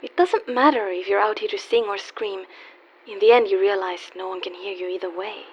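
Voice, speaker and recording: A woman's voice: mid-range voice, a little fast, breathy edge. A woman playing a wry, world-weary young call girl in a radio play, teasing yet guarded and wistful. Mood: wistful, sad. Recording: dull lo-fi audio with faint hiss.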